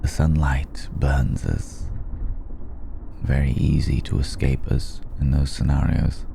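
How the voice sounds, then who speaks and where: An extremely deep-voiced, suave old vampire, acted by a man in an intimate ASMR roleplay. He speaks in a very dark, gravelly tone with refined, wry pauses, over faint background noise. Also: level tone, full character voice. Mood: confiding, wry. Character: suave, worldly old male vampire, amused and courtly. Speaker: voice actor in audio roleplay.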